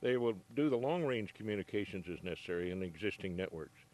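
A man's voice: lowish voice, lively intonation, fast, a little mellow. An older man giving plain, matter-of-fact technical answers in a TV news interview, speaking into a reporter's handheld mic. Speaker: ham radio operator interviewee. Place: home radio room, then parked truck.

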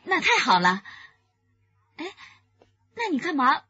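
An actress playing a polite, trusting young tutor in a radio drama, courteous and deferential. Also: female voice, high-pitched, very animated, takes it slowly, sharp tone, full character voice. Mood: polite, eager. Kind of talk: Chinese radio drama acting. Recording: muffled radio drama recording.

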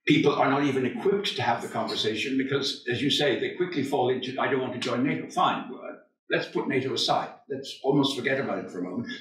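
A man's voice: medium pitch, fairly bright tone. A middle-aged man making an earnest point as a panel speaker in a seminar room, gesturing as he talks. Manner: earnest, gestures while talking. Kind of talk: panel discussion. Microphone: far room mic. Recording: echoey room from far off.

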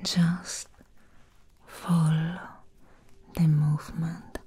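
A young woman playing a calm, commanding female assassin in a whispered ASMR roleplay, slowly and softly instructing the listener up close. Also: sits low, slightly rough, exaggerated voice. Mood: hypnotic, soothing. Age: young adult. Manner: slow, hushed, instructing the listener. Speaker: ASMR performer. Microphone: very close ASMR microphone.

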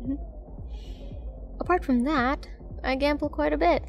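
Woman acting a high-voiced, creaky flirty mercenary. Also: takes it slowly, soft background music, heavily acted. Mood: teasing, flirtatious. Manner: teasing, flirtatious, commanding. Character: flirty, confident, dangerous woman mercenary at a nightclub. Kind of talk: scripted roleplay audio drama, addressing the listener.

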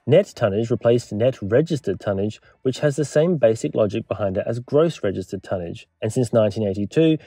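A man narrates a scripted explainer in a measured, lightly wry way, like a historian giving a lecture. His voice is warm and mellow, with a slightly husky edge. Soft music plays underneath. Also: mid-range voice, dry recording.

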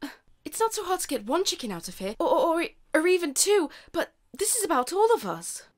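A woman's voice: very high-pitched, big pitch swings, brisk. A woman voicing an earnest, bossy hen leader in a cartoon fan dub, speaking in an insistent, pointed way. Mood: earnest, pleading.

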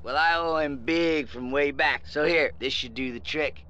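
An actor playing a helpful, easygoing car mechanic in a video game, casual and obliging. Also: male voice, a little high, moderate pace, sharp tone, dull audio, a little hiss or hum. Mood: friendly. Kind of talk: video game cutscene acting.

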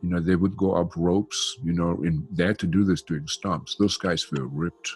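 Older male healer, deep gravelly voice, rambling fillers, headset call. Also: steady tone, moderate pace, soft background music. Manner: chatty, warm-toned, many "you know" fillers. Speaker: spiritual healer. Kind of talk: guided spiritual session. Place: home room. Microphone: headset on video call.

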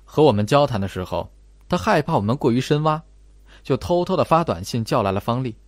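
A man playing a calm, clever young male student detective in an audiobook, measured and explanatory. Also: mid-range voice, no room sound, natural voice. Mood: cool, analytical.

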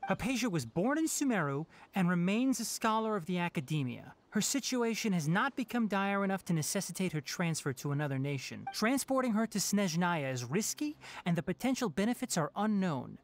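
A serious young ranger scholar. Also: male voice, sits high, big pitch swings. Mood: firm. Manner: measured, earnest, firm. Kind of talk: video game voice acting. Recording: game audio with soft music behind.

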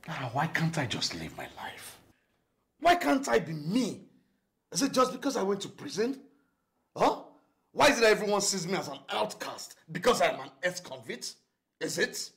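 A man's voice: medium pitch, sing-song, moderate pace, sharp tone, roomy sound. An actor playing a wounded, frustrated ex-convict man who pleads and repeats himself in naturalistic film drama. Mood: anguished. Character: frustrated male ex-convict, wounded and simmering with anger.

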